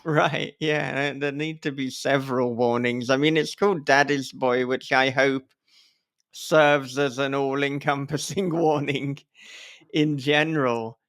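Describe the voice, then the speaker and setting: Clear-voiced, wordy male podcast host. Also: mid-range voice, steady tone, measured pace, a bit bright. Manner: effusive, wordy, swears casually. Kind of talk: casual podcast conversation.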